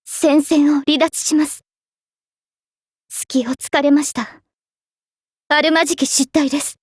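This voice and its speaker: High-pitched, dignified young female knight. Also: steady tone, slow pace, dry recording, clean studio sound. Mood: tense, composed. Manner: formal, crisp commanding delivery. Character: noble young female mage-knight, resolute, dignified battle leader. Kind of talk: anime game battle voice lines.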